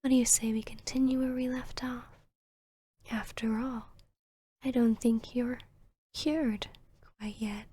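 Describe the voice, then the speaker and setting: A woman voicing an arrogant, seductive vampiress hypnotist in a whispered ASMR roleplay, with a breathy, smug, teasing delivery. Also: fairly high pitch, steady tone, dark tone, dry recording. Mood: haughty, amused. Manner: slow, smug, teasing, deliberate.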